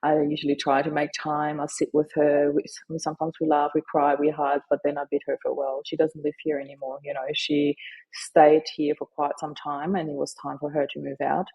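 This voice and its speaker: A middle-aged woman whose first language is German, sharing her story earnestly and haltingly in an interview. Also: sits low, flat delivery, vocal fry, darkish tone, breathy edge, poor audio. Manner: earnest, halting, with frequent fillers. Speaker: guest, grief advocate and author.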